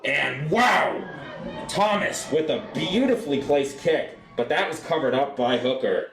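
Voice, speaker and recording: A young adult man doing live soccer play-by-play for radio, describing the action in an animated way. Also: a little high, a little slow, creaky, roomy sound, soft background music. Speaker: play-by-play sportscaster.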